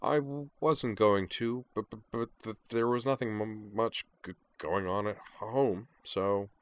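A man voicing a shy teenage girl student with a heavy stutter who speaks softly and hesitantly. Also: on the low side, unhurried, lightly acted. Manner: soft, hesitant.

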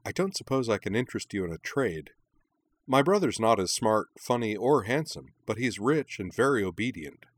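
A man playing an exuberant young woman, pitched well up. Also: moderate pace. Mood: cheeky. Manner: excitable, theatrical, gushing. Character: exuberant, melodramatic, wisecracking young woman racer.

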